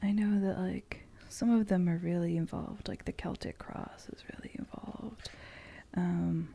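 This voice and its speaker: A woman chatting casually in an ASMR style, with a soft, breathy, often whispered voice and a halting, meandering manner. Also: lowish voice, fairly even intonation. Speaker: streamer host.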